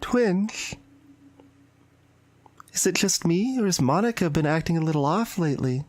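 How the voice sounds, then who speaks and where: A woman playing a shy, anxious and intense high school girl in a visual novel, speaking in a hesitant, confiding way. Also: expressive, normal speed, darkish tone. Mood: uneasy. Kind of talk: dramatic visual novel voice acting. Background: background music.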